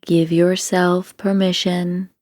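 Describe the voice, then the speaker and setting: Female guided-meditation narrator, lowish relaxed voice, calm deliberate delivery, very dry close recording. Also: no echo. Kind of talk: scripted guided meditation, slow gentle instruction.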